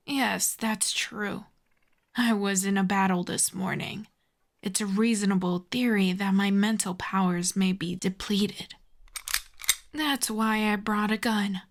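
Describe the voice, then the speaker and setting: A woman performs a telepathic villainess in an intimate audio roleplay. She is smug, sardonic and menacing, taking her time over every taunt in a drawn-out delivery. Her breathy voice often sinks toward a whisper, with a medium pitch for a woman. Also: fairly even intonation. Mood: threatening. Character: smug telepathic female villain, mocking, menacing, secretly intrigued. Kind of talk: ASMR audio roleplay monologue.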